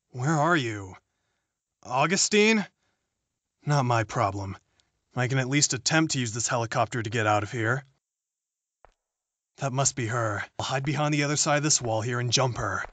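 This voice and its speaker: A man's voice: medium pitch, bright, forceful tone, dry recording. An actor playing a jittery, self-serving male politician on the run, muttering to himself in an audio drama. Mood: startled, scheming.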